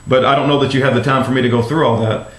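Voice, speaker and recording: A man's voice: mid-range voice, fairly even intonation, rapid-fire. An American man speaking as an activist caller on the phone, earnest and polite, hesitating and repeating himself as he makes his case. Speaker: activist caller phoning a congressman's office. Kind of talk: earnest phone conversation, advocacy. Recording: roomy recorded phone call.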